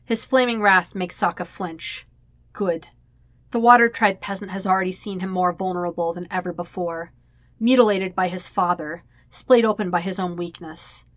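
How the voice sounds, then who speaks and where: A woman's voice: fairly low pitch, fairly even intonation, moderate pace, no echo. Woman reading dramatic fiction, dry sound. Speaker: fan fiction narrator. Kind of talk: dramatic scripted story narration.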